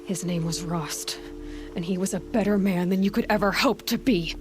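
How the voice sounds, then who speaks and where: A woman's voice: mid-range voice, normal speed, over music, lightly acted. An actress playing a determined, defiant young woman hunter in a video game, intense and guarded. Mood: bitter defiance. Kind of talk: dramatic video game acting.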